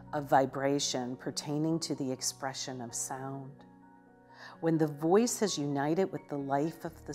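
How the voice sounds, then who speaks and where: Slow, low-voiced woman minister. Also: darkish tone. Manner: slow, measured, contemplative delivery with some hesitations. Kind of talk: devotional teaching. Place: living room with a sofa, indoors.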